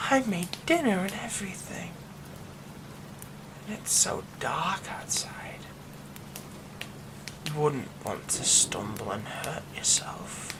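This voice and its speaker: A man voicing a menacing yandere werewolf who is an old childhood friend, in an ASMR roleplay, talking in slow, soft, threatening whispers. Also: on the high side, poor audio.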